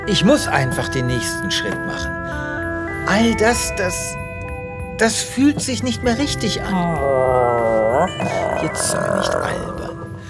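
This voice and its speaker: Fed up space vet in a radio play, dramatic n muttery, real slow, music behind. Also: male voice, a little high, wildly swooping pitch, creaky, heavily acted. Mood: wistful. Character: exasperated, weary male space veterinarian. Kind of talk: German audio drama acting.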